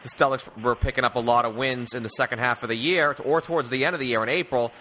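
Male sports podcast host, bright punchy tone, conversational, slight background noise. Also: medium pitch, fairly even intonation, fast talker.

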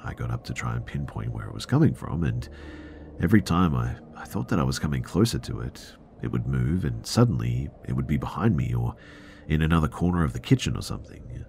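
Very deep-voiced man telling scary stories. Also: animated, a little fast, creaky, a little mellow, a little hiss or hum. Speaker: narrator of listener horror stories. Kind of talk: scary first-person storytelling.

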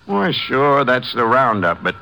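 An actor playing a folksy, loyal small-town sheriff with colloquial western talk in a 1950s radio drama. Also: male voice, medium pitch, big pitch swings, a little slow, creaky, pushed and bright, exaggerated voice, low-quality recording. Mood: easygoing. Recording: old radio recording.

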